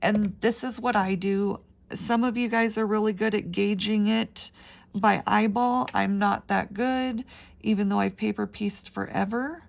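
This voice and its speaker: A middle-aged woman, raspy from the flu, chatting her way through a paper crafting tutorial with plenty of ums. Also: mid-range voice, steady tone, unhurried. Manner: chatty, rambling with frequent ums. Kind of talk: casual step-by-step craft tutorial.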